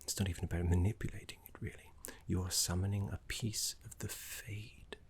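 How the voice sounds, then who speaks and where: A man voicing a gentle, scholarly necromancy teacher in an ASMR roleplay, soft, breathy and half-whispered, with soothing, genteel phrasing. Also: sits low, fairly even intonation, a little fast. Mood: reverent, hushed. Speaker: teacher guiding a student.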